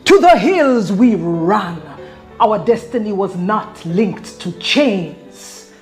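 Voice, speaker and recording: A woman's voice: mid-range voice, lively intonation, darkish tone, faint music behind, voice pushed up. A woman speaking in verse as a proud, defiant maroon queen from the ancestral past. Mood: solemn, defiant. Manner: slow solemn recitation in verse.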